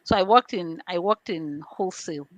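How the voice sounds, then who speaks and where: Woman, Nigerian accent, lowish pitch, dry sound, interview guest, conversational, plain explanations. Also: moderate pace, dry recording, muffled recording. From born in London, grew up in Nigeria. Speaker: interview guest, information governance specialist.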